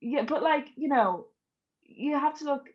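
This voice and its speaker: A woman's voice: sits high, animated, unhurried, muffled recording. A young adult woman with an Irish accent, chatting casually and informally as a podcast guest telling personal stories. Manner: chatty, informal, laughs easily.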